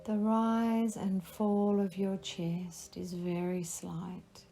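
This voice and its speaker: Slow, soothing woman guiding meditation. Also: medium pitch, level tone, warm tone. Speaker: meditation guide. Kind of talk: guided relaxation meditation. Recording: faint music behind the voice.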